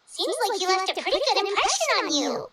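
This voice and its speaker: A woman voicing a small, childlike and curious adventurer's companion in a video game, eager and expressive. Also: very high-pitched, quick pace, very noisy. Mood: inquisitive. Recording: roomy, distant, music behind.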